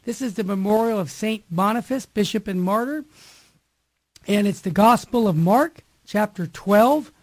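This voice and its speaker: A man hosting a live religious radio talk show, with a loud voice that he mentions himself. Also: high voice, normal speed. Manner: loud voice, reads scripture aloud. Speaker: radio host.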